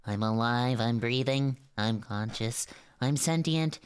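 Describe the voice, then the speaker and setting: A man's voice: medium pitch, slow, smooth, lightly acted. A man voicing an unhinged cartoon sea sponge, indignant and defiant, ranting obsessively. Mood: manic, defiant.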